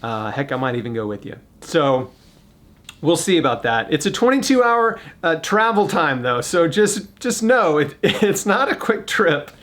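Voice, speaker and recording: An American man with a voice a little higher than average talks straight to his online audience. His delivery is casual and unscripted, with an earnest, encouraging tone as he makes an appeal. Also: very animated, measured pace, a bit bright. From United States. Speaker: YouTube host making a charity fundraising appeal.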